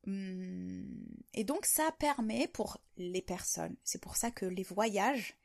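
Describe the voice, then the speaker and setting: A woman's voice: mid-range voice, animated, takes it slowly, gravelly. A woman talking to camera in an unscripted monologue, reflecting on her ideas and rephrasing them as she goes. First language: French. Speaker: video host sharing language-learning reflections.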